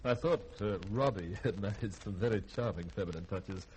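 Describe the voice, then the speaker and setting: An actor playing a gentle, courteous ship's doctor in a 1950s radio drama, mild and a little hesitant. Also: male voice, fairly low pitch, moderate pace, a little hiss or hum, close to an everyday voice, rough recording. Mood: sympathetic.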